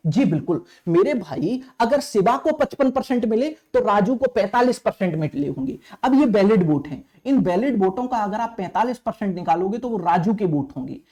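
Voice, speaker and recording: A man's voice: very high-pitched, dark tone. A man teaching maths step by step, speaking to students familiarly with frequent check questions and repetition. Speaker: maths teacher for exam coaching. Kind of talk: energetic classroom lecture working through problems step by step.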